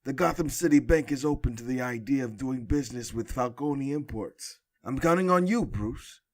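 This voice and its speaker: A man's voice: medium pitch, normal speed, close to an everyday voice. A man voicing an oily, smug crime lord who is suave and pointed in a comic book drama.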